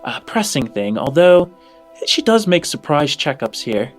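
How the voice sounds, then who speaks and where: A flustered female orphanage administrator as a man acts her out for a tabletop game. She hedges and hesitates as she guides visitors, evasive and halting, with faint music underneath. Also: a little high, big pitch swings.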